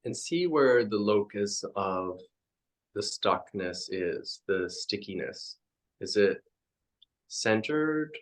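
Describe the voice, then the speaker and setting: A man leading a guided meditation as a teacher, speaking in halting, reflective phrases with frequent pauses. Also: lowish voice, lively intonation.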